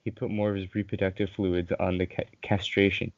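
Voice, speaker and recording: A man's voice: low voice, level tone, a little fast, dry recording. A young man casually reading a story aloud among friends, hesitant and given to giggling. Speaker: co-host reading fan fiction aloud. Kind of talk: casual group chat and amateur story reading. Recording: dull lo-fi voice chat audio.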